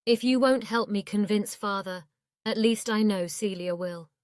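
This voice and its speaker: Casting: actress as headstrong young fantasy oracle, clear medium-pitched voice, assertive, earnest. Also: female voice, unhurried, dry recording, clean studio sound, lightly acted. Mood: bitter, defiant. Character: determined young female oracle yearning for freedom. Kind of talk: audiobook drama acting.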